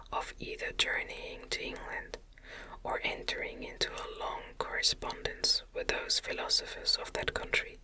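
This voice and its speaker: Whispering woman reading a gothic novel. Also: low-quality recording. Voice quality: breathy unvoiced whisper. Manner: gentle, soothing, measured reading. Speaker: audiobook narrator.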